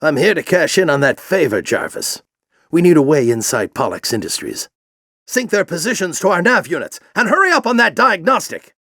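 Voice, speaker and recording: A man playing a grizzled older alien warrior general in a video game, with a gruff, commanding, theatrical delivery and a fanatical intensity. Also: on the high side, big pitch swings, normal speed, sharp tone, dry recording, studio quality, heavily acted. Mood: brisk, commanding. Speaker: military commander and mentor. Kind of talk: video game voice acting, combat barks and dramatic speeches.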